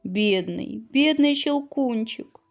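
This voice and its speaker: A woman voicing a sweet, excitable little girl in a children's storybook, exclaiming with emotion. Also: a little high, takes it slowly, faint music behind, pitched above the performer's own voice. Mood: pitying, distressed.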